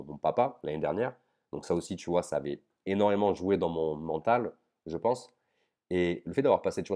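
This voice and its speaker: A man's voice: low voice, dark tone. A young man talking straight to the viewer in a casual, slangy vlog about his business setbacks. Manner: informal, slangy, addresses viewer as tu. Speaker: online business vlogger. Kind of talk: casual direct-to-camera confession.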